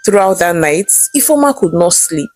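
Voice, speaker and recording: A Nigerian woman narrates a folktale with a lively, swinging pitch. She is expressive and theatrical in her storytelling. Soft music plays underneath her voice. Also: moderate pace. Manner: expressive, acts out characters' dialogue.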